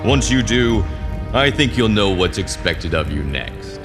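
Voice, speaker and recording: A man's voice: fairly low pitch, very animated, measured pace, over music. A man acting a scheming older wizard mentor in a video game, speaking with silky, commanding drama. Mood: grandly paternal.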